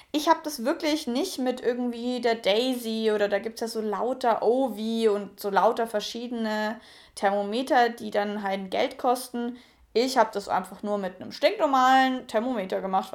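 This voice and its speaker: A young woman in her late twenties talks straight to her viewers in a relaxed, confiding way. Her voice sits at an ordinary middle pitch. She speaks frankly and loosely, with plenty of fillers and small restarts. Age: 20s. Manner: chatty, frank, many fillers. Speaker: YouTuber. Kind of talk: casual personal vlog.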